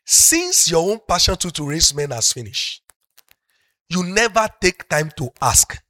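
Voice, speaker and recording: Nigerian pastor guy with a yoruba accent preaching, high voice, bright sound, goes from soft to shouting. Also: very animated, a little slow, dry recording, clean studio sound. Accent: Yoruba-speaking Nigerian. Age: middle-aged. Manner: preaching to a congregation, builds from soft talk to loud passionate shouting. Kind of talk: sermon.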